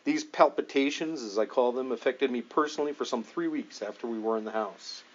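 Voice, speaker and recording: A man voicing a grave, emphatic male ghost investigator recounting an ordeal in an audiobook, serious and halting. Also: mid-range voice, highs cut off, voice pushed down, close to an everyday voice. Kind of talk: audiobook dialogue reading.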